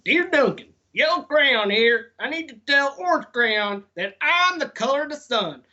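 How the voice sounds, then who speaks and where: A man voicing an indignant, boastful yellow crayon in a children's storybook, with emphatic, aggrieved delivery. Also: extremely high pitch, lively intonation, normal speed, pitched above the performer's own voice, full character voice. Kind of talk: children's storybook read-aloud. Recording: dull lo-fi sound.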